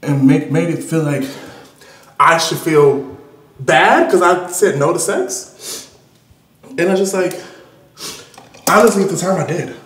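A man's voice: a little high, measured pace, pauses often, a bit bright. A young American man telling candid personal stories live in a playful, sassy, slangy way as a performance artist. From Detroit, USA. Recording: roomy live gallery recording.

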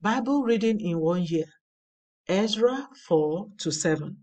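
A woman reading a daily devotional aloud in a measured, earnest way. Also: medium pitch, expressive, darkish tone, far from the mic. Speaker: devotional reader. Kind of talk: slow scripted devotional reading. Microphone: voice-over over slides.

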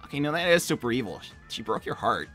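A teenage cartoon hedgehog hero played by a man with a bright, punchy, mid-pitched voice. He talks in a casual, halting, slangy way, cocky yet kind. Faint music sits behind him. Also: very animated. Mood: indignant. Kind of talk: improvised character roleplay on a livestream.

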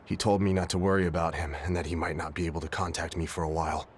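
An actor playing a polite, grieving young man in a game cutscene, speaking gently. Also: deep voice, flat delivery, fast, a little hiss or hum, lightly acted. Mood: subdued. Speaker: game character.

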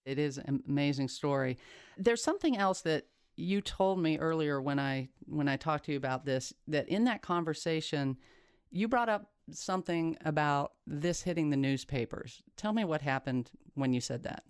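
A man's voice: a little high, lively intonation, moderate pace, clean tone, dry recording, studio quality. A man hosting an investigative interview, asking probing questions and stressing key points emphatically. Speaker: podcast interviewer.

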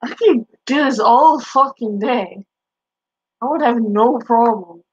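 A woman's voice: a little high, slow, slightly rough, no echo. A woman in her twenties with a Boston accent, trash-talking her chat on a live stream from a small bedroom through a headset mic. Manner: brash, profane trash talk. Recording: dull lo-fi stream audio.

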